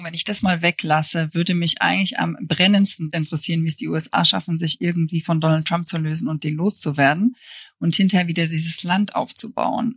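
A woman's voice: on the low side, fairly bright tone, breathy edge, no room sound, clean studio sound. A woman from Bavaria, a journalist guest, giving thoughtful, frank interview answers, often qualifying with "I think". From Bavaria, Germany. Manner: thoughtful, frank, hedges with "ich glaube".

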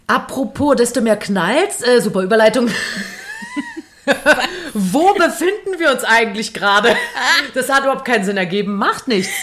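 A woman from Cologne with a Kölsch accent, co-hosting a casual pop culture podcast, chatty and quick to laugh. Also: fairly high pitch, very animated, a bit bright. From Cologne, Germany. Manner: chatty, laughs often, restarts sentences. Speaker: podcast co-host. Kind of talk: casual chat. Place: home in Berlin.

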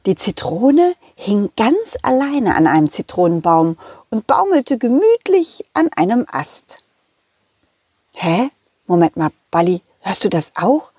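A woman voicing a cheerful, excitable, loyal dog who tells stories to children in a playful, chatty way. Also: medium pitch, slow pace, husky, no echo, studio quality, exaggerated voice. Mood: curious, amused. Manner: playful, chatty, giggly, addressing children directly. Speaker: puppet character host. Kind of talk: children's audio story narration.

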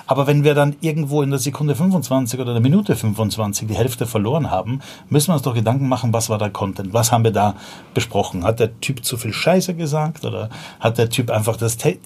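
A man's voice: mid-range voice, a little mellow, breathy edge. A man in his forties with a Viennese accent, a podcast guest talking casually in a loose, slangy way, full of fillers and restarts. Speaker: podcast guest, startup founder. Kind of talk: casual conversational storytelling.

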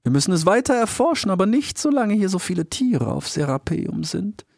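A man voicing an old male turtle scholar who speaks very slowly and gravely. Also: sits high, big pitch swings, darkish tone, no echo, clean studio sound, pitched above the performer's own voice, full character voice. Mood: solemn. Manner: very slow, measured, grave. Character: slow, solemn, aged male turtle scholar.

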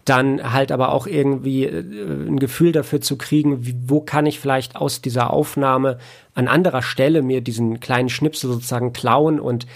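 A man talking casually as an interview guest, explaining his work in a reflective, halting way with repeated words. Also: mid-range voice, fairly even intonation, gravelly. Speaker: podcast guest, audiobook editor and audio drama producer.

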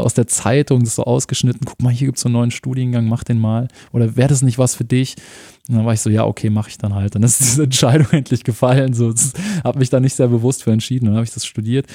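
A man's voice: mid-range voice, warm tone, dry recording, clean studio sound. A man in his thirties from Munich, talking casually as an interview guest, rambling and laughing often, with a lot of slang. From Germany (Munich).

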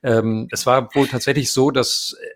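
A man's voice: mid-range voice, steady tone, moderate pace. A man in his fifties, a voice actor as an interview guest, answering at length with many hesitations and fillers.

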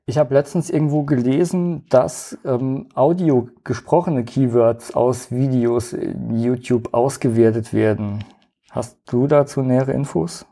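A man hosting a podcast, introducing the episode and asking calm interview questions with short affirmations. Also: medium pitch, a little slow, gravelly. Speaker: podcast host.

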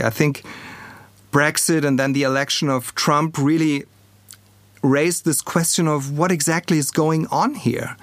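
A man with a German accent hosting an academic podcast, speaking in a measured, formal way as he introduces and questions a guest. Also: fairly high pitch, no echo. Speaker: podcast host; political scientist.

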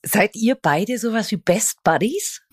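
A woman's voice: mid-range voice, animated, a little slow, breathy edge, no echo. A woman interviewing guests in an informal, upbeat way. Her voice sits in a middle register and is recorded dry and close. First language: German. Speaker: interviewer, host. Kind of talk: casual interview.

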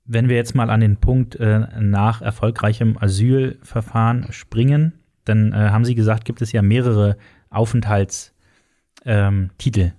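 Male interviewer, mellow and a little low, polite conversational questioning. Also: fairly even intonation, measured pace.

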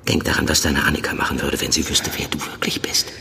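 A stern, quietly menacing handler in an audio drama. His voice is very deep and hard-edged, issuing clipped, controlling orders in a long, reverberant space. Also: level tone, gravelly, in a whisper, full character voice. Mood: stern warning. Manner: commanding, clipped, deliberate admonishing.

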